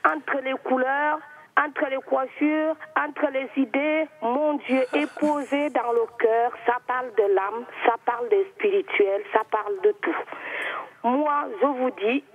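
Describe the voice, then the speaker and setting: A woman's voice: high voice, steady tone, a little slow. A woman with an Equatorial Guinean accent, a native Spanish speaker with a cold and a sore throat, calling in to a talk show and speaking with emphatic, heartfelt conviction. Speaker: caller. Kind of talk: phone-in call to a talk show. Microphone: telephone. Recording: tinny phone line.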